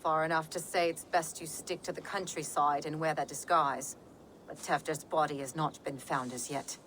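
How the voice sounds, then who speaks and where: Low-voiced solemn pagan witch. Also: steady tone, normal speed, a bit bright, slight background noise. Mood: solemn, knowing. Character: grave, cryptic pagan witch and guide. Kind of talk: video game drama acting. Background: horse hooves and outdoor ambience.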